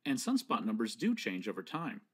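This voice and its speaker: A man reads a prepared lecture in a steady, explanatory way. His pitch moves about freely, which keeps the delivery animated as he walks through each point. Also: breathy edge. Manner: measured, explanatory and precise. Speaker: presenter. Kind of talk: scripted slideshow lecture.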